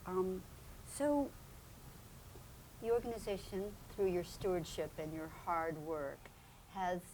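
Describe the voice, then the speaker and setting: A woman's voice: fairly low pitch, slow pace, darkish tone, slight background noise, rough recording. A woman interviewing a guest, asking questions in an encouraging way with frequent affirming murmurs.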